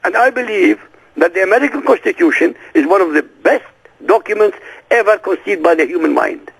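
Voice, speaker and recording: Man, Arabic native speaker, interview guest, emphatic, rhetorical questions, high voice, gravelly, husky. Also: a little fast, rough recording. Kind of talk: radio interview.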